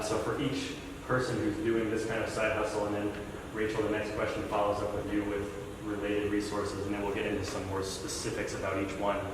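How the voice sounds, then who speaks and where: A man moderating a library panel, asking questions in a halting way with many uhs. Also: lowish voice. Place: library event room. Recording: echoey hall, mic far off, noisy.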